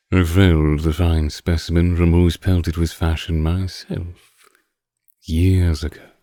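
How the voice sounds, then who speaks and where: Man voicing a very deep, slow vampire lord. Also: warm tone, dry recording, exaggerated voice. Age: older. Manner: slow, deliberate, archaic phrasing, teasing condescension. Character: ancient predatory male vampire lord, suave, menacing, condescending. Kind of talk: scripted roleplay audio drama, intimate close-mic monologue.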